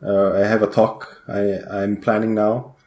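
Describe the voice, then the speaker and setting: Guy, deep voice, roomy echo, rambles with lots of uhs. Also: level tone, unhurried, mellow. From Iceland. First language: Icelandic. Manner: rambling, hesitant with many fillers. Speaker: guest programmer. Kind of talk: casual podcast interview.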